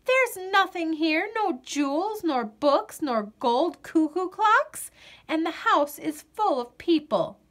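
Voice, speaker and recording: A woman's voice: very high voice, big pitch swings, slow pace, fairly bright tone, pitched above the performer's own voice, heavily acted. A woman voicing a brave, emotional young girl from a storybook, earnest and theatrical. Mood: pleading, alarmed.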